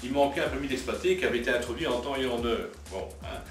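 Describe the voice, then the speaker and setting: A man's voice: mid-range voice, quick pace, faint music behind. A man speaking as a local politician in a spontaneous debate, arguing insistently and repeating his phrases. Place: cafeteria. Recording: echoey noisy room, slightly distant.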